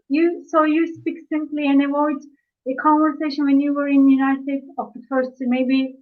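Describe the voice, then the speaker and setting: Female host, Turkish first language, halting questions, tinny call audio. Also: high voice. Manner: halting, searching for words in English. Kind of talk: live-stream interview.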